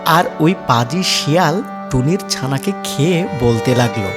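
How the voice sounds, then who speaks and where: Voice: mid-range voice, lively intonation, unhurried. A narrator reading a scripted children's story. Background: background music.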